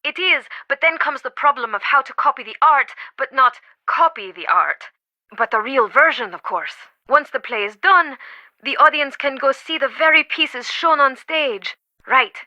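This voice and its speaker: A woman's voice: high voice, animated, moderate pace, pushed and bright, dry recording, lo-fi sound, heavily acted. An actress playing an earnest, devoted and easily affronted woman museum curator in a video game, with dramatic, emphatic delivery. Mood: explanatory.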